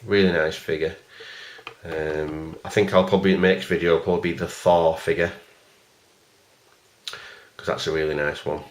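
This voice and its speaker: A man giving a casual, rambling video review of a collectible figure in a plain, even voice, stopping often for ums and uhs. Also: low-pitched, normal speed, pauses often. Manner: rambling and hesitant, many fillers.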